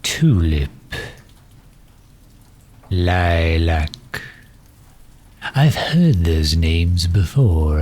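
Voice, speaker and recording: Refined villain acted by a man, very deep, husky, formal and politely condescending, ASMR audio drama. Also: mellow, full character voice. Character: genteel, erudite male villain, courteous and misunderstood.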